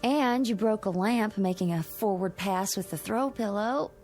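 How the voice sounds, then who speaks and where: An actress playing a teasing, patient young New York wife, in radio drama style with wry, playful banter. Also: female voice, medium pitch, unhurried, a little mellow, soft background music, lightly acted.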